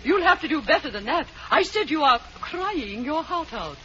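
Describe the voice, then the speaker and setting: A woman's voice: high voice, very animated, moderate pace, lo-fi sound, a crowd in the background. An actress playing a fussy, theatrical female drama coach in an old radio comedy, recorded with a studio audience. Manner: theatrical, gushing, instructing. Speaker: drama coach running auditions. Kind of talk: 1940s radio comedy acting.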